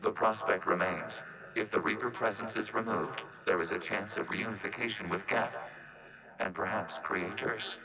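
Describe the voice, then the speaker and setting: An actor playing a calm, logical robot with a collective mind, speaking formally through synthetic processing in a video game cutscene. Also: male voice, on the low side, a crowd in the background, poor audio. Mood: calm, reflective. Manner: formal, measured, detached, speaks of itself as "we".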